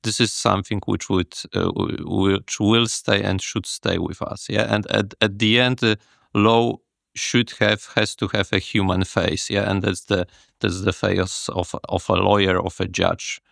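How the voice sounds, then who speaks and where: A man whose first language is Polish, a guest speaking in a podcast interview, halting with restarts and tagging sentences with yeah. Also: on the low side, flat delivery, gravelly, fairly bright tone, no room sound, clean studio sound. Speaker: guest manager.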